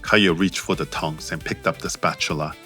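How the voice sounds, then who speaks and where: A man reading an audiobook romance as narrator in a measured, steady way. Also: low voice. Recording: faint music behind.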